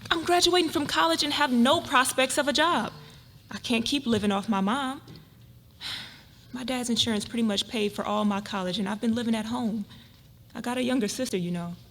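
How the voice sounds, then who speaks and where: A woman playing a wary, independent young college graduate in a live dramatic reading, skeptical, expressive and colloquial. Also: on the high side, normal speed. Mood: wary, restless. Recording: noisy live room recording.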